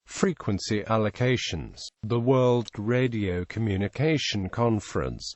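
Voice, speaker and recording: A man reads scripted encyclopedia-style narration in a mechanical, evenly measured manner. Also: medium pitch, darkish tone, dry recording.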